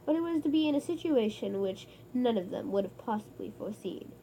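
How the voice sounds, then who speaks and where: A woman doing a solemn narrator who speaks in a hushed, grave, measured way. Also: a little high, animated, a little hiss or hum, rough recording.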